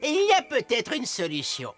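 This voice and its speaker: A woman's voice: fairly high pitch, wildly swooping pitch, normal speed, over music. A woman voicing a hardworking, crafty farm donkey in a children's cartoon, with an exasperated, theatrical way of talking. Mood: scheming. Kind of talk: animated children's cartoon dialogue.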